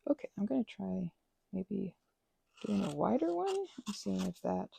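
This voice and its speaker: A woman in a soft-spoken ASMR crafting ramble, talking close to the microphone in a meandering way and often slipping into whispers. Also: medium pitch, very animated, moderate pace, creaky, a little hiss or hum.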